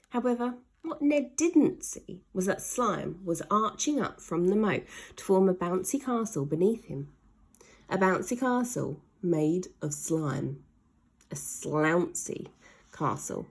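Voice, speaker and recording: A woman's voice: on the low side, expressive, a little slow, darkish tone, slightly rough. A woman reading a children's story aloud expressively, stretching key words for dramatic emphasis.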